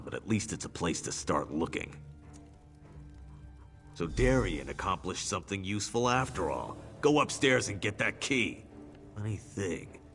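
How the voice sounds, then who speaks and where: A man playing a blunt, battle-weary fighter with a gravelly voice in a fantasy video game. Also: low-pitched, fairly bright tone, heavily acted. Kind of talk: scripted video game dialogue.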